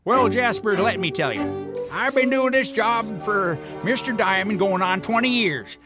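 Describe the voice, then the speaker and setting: An elderly cartoonish mole janitor, high-pitched and swooping in tone. He is folksy and chuckles often, as in a comic detective radio play, with faint music behind him. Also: big pitch swings, a little slow. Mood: folksy, grumbling. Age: older. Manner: folksy old-timer drawl with frequent chuckles. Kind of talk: comic detective audio drama acting.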